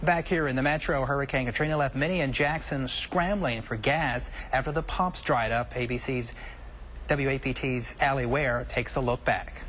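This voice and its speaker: A man's voice: medium pitch, moderate pace, fairly bright tone. A man reading scripted local television news as an anchor. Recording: faint background noise.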